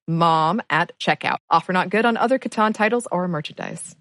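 A woman's voice: lowish voice, normal speed, fairly bright tone. A woman reading an ad on a podcast, upbeat and conversational. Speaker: ad reader. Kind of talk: podcast ad read.

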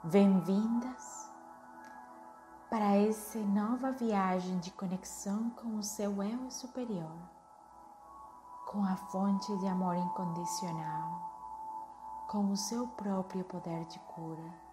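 Slow-speaking woman guiding meditation, echoey. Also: mid-range voice, fairly even intonation. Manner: soothing, unhurried, gently instructing. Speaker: meditation guide. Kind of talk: guided meditation. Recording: echoey, with music underneath. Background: music.

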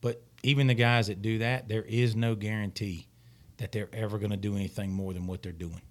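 A man's voice: lowish voice, level tone, a little fast. A man co-hosting a podcast, talking things through in a reflective, reasoning way. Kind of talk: casual podcast conversation.

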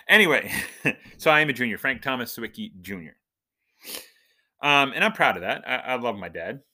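A middle-aged man hosting a casual podcast, chatting and telling stories with animated, emphatic build-ups. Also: lowish voice. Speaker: podcast host.

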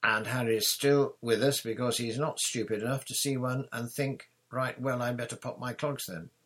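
A man's voice: medium pitch, fairly even intonation, moderate pace, fairly bright tone, breathy edge, pitched above the performer's own voice. A man voicing a clever, bossy schoolgirl with an airy, superior manner in a storybook reading.

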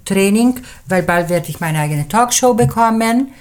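A woman's voice: mid-range voice, lively intonation, normal speed. A sassy woman with a Swiss Italian accent, chatting as a podcast guest. Her German carries her Italian first language, and she sprinkles in English phrases with diva confidence. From Switzerland. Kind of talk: casual podcast chat.

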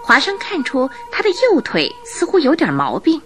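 Female narrator, expressive storytelling, lively melodic range, radio drama, music in the background. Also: sits high, moderate pace.

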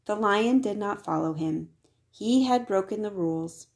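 A woman reading a children's picture book aloud as scripted narration, with expressive delivery. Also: medium pitch, a little slow. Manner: expressive, acts out the dialogue. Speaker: storybook reader.

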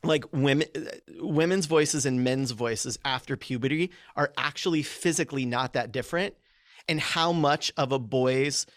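A man, a public speaking coach, explaining ideas in an energetic, casual podcast conversation full of filler words. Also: medium pitch, dry recording, clean studio sound.

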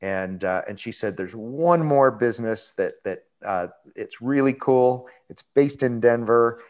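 A man in his forties, a restaurant executive, telling his career story in a relaxed, rambling way with lots of fillers. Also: mid-range voice, unhurried. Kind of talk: conversational interview storytelling.

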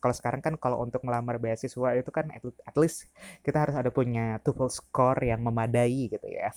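A man's voice: mid-range voice, mellow, no echo. Chatty young man in Jakarta slang. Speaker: university staff. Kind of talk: casual storytelling.

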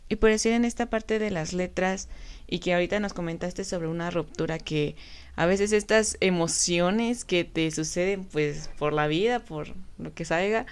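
A woman's voice: fairly low pitch, normal speed, clean tone. A woman interviewing a musician, asking long, rambling questions. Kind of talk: casual interview.

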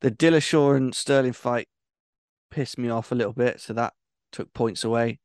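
A man's voice: mid-range voice, steady tone, moderate pace, darkish tone, clean tone, dry recording, studio quality. A man co-hosting a podcast, talking in a laid-back, casual way with lots of fillers. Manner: laid-back fan talk with frequent fillers. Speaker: podcast co-host. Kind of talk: casual chat.

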